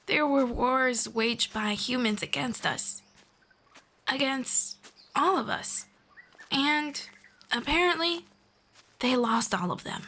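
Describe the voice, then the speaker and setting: A woman playing a friendly, knowledgeable elf in a scripted roleplay, speaking to the listener in a formal, measured, gently explanatory way. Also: fairly high pitch, exaggerated voice. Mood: thoughtful, explanatory. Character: friendly, knowledgeable female elf guiding a human. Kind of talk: scripted roleplay audio, one-sided conversation with listener.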